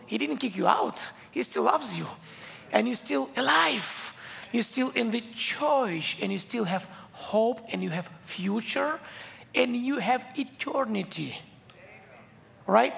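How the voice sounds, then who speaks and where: A man's voice: high-pitched, creaky, fairly bright tone. A man from Israel preaching a sermon in English, his third language, with an Israeli accent and emphatic, repetitive phrasing. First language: not English; English is his third language. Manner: emphatic, repetitive preaching with simple non-native grammar. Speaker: guest preacher. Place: church sanctuary. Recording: church hall with long reverb.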